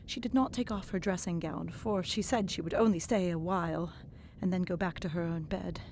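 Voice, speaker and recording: A woman narrating a gothic novel in the first person, expressive and dramatic, dropping to whispers in the hushed passages. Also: on the low side, normal speed, a little hiss or hum.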